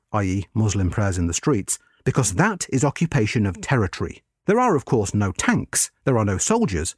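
Male article narrator: measured, formal scripted history read, animated pitch movement, close dry recording. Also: breathy edge, dry recording.

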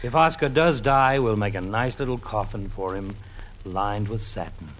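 A man's voice: on the low side, moderate pace, lightly acted. A man playing a kindly, joking family doctor who coaxes a child in an old radio comedy. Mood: mock-grave. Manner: coaxing, playful, mock-grave. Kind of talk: 1940s radio comedy acting. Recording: noisy old radio recording.

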